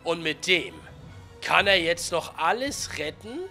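A man in his twenties with a cold, giving chatty, slangy, excited commentary on a video game over faint music. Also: a little high, unhurried. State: has a cold. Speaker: gaming YouTuber.

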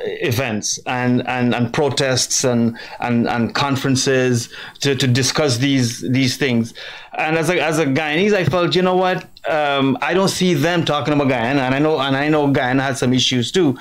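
A man's voice: mid-range voice, normal speed. A middle-aged man who grew up in New York City, answering interview questions in a rambling way with frequent fillers and restarts. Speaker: guest. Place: TV studio with virtual set.

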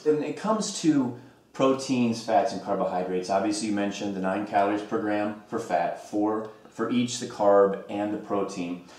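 A man's voice: on the low side, moderate pace, a little mellow. A young man hosting a fitness nutrition show with polished, upbeat presenter delivery. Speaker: host. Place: home kitchen, indoors. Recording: roomy kitchen sound, mic at a distance.

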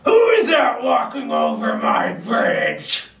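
A man voicing a grumpy, hungry male troll who guards a bridge, in a gruff, drawn-out manner. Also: very high voice, wildly swooping pitch, a bit bright, raised voice, slight background noise, exaggerated voice. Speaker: puppeteer. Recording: echoey room, mic far off.

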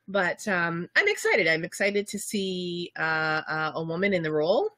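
A woman in her thirties, stuffed up with a summer cold, co-hosting a casual livestream from home in an excitable, chatty way with plenty of ums. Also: on the low side, moderate pace, no echo. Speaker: co-host of a game studio livestream. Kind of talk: casual live chat. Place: home room with bookshelves, indoors.

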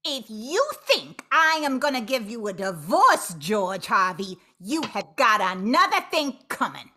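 A woman's voice: fairly high pitch, very animated, unhurried, full character voice. A woman playing a brassy, emphatic dame who poses as a wronged wife in an amateur radio play. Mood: confrontational. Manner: forceful, emphatic. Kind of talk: amateur old-time radio play acting. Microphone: computer on video call.

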